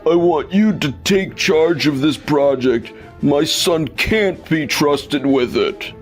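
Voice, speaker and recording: Manga dub: authoritative father and company boss male actor, deliberate slow delivery, slightly high register, music underneath. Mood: solemn.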